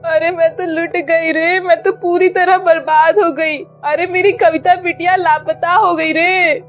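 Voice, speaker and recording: A woman's voice: very high voice, little pitch movement, unhurried, soft background music. A woman voicing a distraught village mother who wails and cries in a melodramatic cartoon style. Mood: wailing, grieving. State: crying. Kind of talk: melodramatic Hindi cartoon acting.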